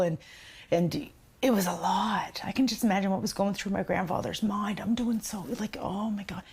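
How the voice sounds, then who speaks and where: A woman giving an unscripted personal account in an interview, halting and often saying "you know". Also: medium pitch, darkish tone. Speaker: documentary interviewee.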